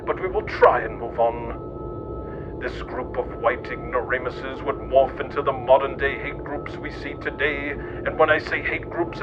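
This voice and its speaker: A man narrates a scripted satire with a mock-serious, deadpan air. His mid-range voice stays very flat and barely moves in melody. The audio is dull and lo-fi, with music clearly playing behind him. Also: medium pitch. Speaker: narrator of a satirical history podcast. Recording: muffled lo-fi audio under music. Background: background music.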